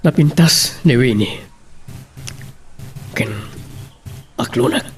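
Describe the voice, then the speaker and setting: A man's voice: mid-range voice, animated, takes it slowly. A man playing a remorseful father in an Ilocano audio drama, with heavy, regretful delivery. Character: remorseful father, burdened by guilt, asking forgiveness. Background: music.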